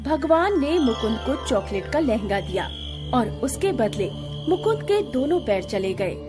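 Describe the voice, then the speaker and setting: A woman narrates a scripted tale. Her voice sits high, in a storyteller's delivery, and light music plays faintly behind her. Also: very animated, measured pace.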